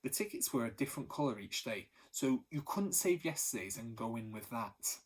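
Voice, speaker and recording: Male teacher, husky voice, mid pitch, story read-aloud. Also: normal speed. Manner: steady, expressive reading, voice lifting for the characters. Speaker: teacher reading to pupils.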